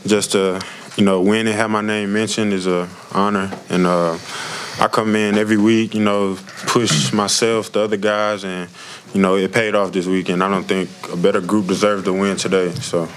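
A man's voice: lowish voice, flat delivery, normal speed. A man in his early twenties, a college football player giving plain-spoken, modest answers at a press conference. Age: early 20s. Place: indoor press conference room. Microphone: tabletop microphone. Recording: noisy press room.

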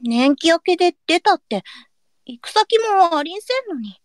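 High-pitched, wistful young courtesan girl. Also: sing-song, moderate pace, no room sound, clean studio sound. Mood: wistful, hopeful. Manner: archaic courtesan speech. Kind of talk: Japanese period audio drama acting.

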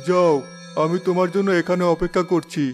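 Actor as an earnest high-voiced father. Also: little pitch movement, fairly quick, faint music behind, close to an everyday voice. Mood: solemn. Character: dutiful, protective father returned as a spirit. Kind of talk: Bengali cartoon voice acting.

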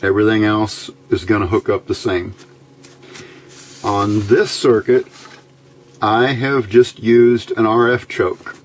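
A man giving a casual technical tutorial in a plain-spoken, methodical way, with hesitant pauses, as an electronics hobbyist at a workbench. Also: on the low side, level tone, slight background noise. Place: indoors at a workbench.

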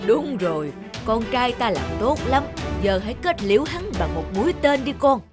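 A woman's voice: on the high side, very animated, moderate pace, over music. A woman voicing a vengeful old wind god father in a cartoon, grand and commanding. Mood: urgent, commanding.

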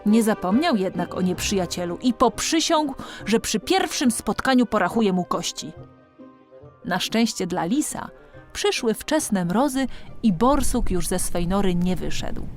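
A woman's voice: medium pitch, sing-song, unhurried, faint music behind. A woman reading a children's storybook as an audiobook narrator, expressively and with dramatic emphasis.

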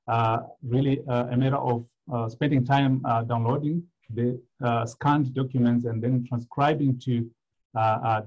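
A man from Zimbabwe giving an academic presentation over a video call, pausing often with "uh" fillers. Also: medium pitch, a little mellow. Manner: hesitant, many "uh" fillers. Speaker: guest speaker, economic historian. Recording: dull lo-fi video call audio.

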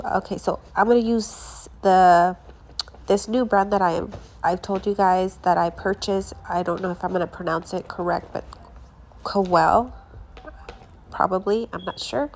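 A woman's voice: medium pitch, fairly even intonation, normal speed, a little mellow, a little hiss or hum. A young woman, congested from allergies, chatting casually as she reviews her lash products, with many fillers and restarts.

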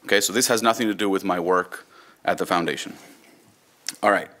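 A man's voice: low-pitched, brisk, bright, forceful tone. A man in his thirties from Israel, a Hebrew speaker, gives an informal, wry conference talk with some storytelling. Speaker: conference speaker, volunteer digital librarian. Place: conference room, speaking from a lectern.

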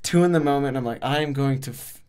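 A man's voice: medium pitch, quick pace, clear, resonant tone. A young American man chatting and telling stories in a casual, playful, joking way on a studio mic. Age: young adult. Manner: animated, joking, playful slang. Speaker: podcast host. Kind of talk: casual comedic storytelling chat. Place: small acoustically treated podcast studio. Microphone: studio microphone on boom arm.

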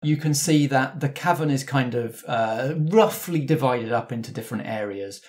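A man's voice: medium pitch, moderate pace, darkish tone. A man acting as game master, narrating scenes and rules in a conversational, descriptive way. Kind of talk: narrating a tabletop roleplaying game.

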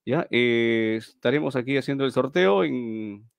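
A man's voice: medium pitch, animated, a little slow, vocal fry, no echo, lo-fi sound. A middle-aged man hosts a live stream giveaway from a small room on a webcam, chatting, repeating himself and reading out viewers' names.

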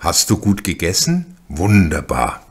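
A secretive middle-aged hatter, as a male narrator puts him on in a crime audiobook. His voice is low and his words are deliberate, with a self-satisfied, sinister temper. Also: wildly swooping pitch, slightly rough, full character voice. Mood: loud pretence. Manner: muttering, deliberate.

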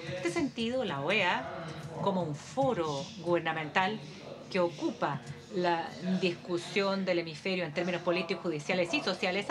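A woman interprets a formal conference speech in real time in a lowish voice. Her delivery is steady and measured, with an occasional hesitation as she keeps pace. A clear audience murmur runs beneath her. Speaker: simultaneous interpreter.

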